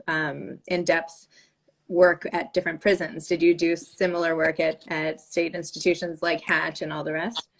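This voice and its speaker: Low-pitched woman, casual interviewer. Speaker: interview host.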